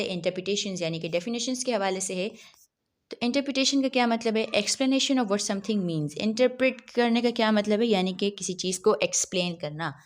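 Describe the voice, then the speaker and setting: A woman giving a law lecture, asking herself questions and then answering them, and reading English legal text out slowly. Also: medium pitch. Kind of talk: recorded lecture.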